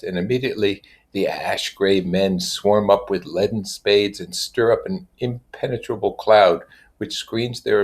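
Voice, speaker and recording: A man reading literature aloud in a measured way, with casual explanatory asides. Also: lowish voice, gravelly. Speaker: reader and commentator.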